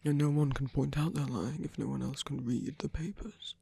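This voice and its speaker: Male actor as guarded villain lover, very raspy and creaky, halting, softly wry, in pain, intimate ASMR roleplay, close dry sound. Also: medium pitch, steady tone, no echo. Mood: earnest, halting. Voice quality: husky, gravelly, breathy, often near-whisper.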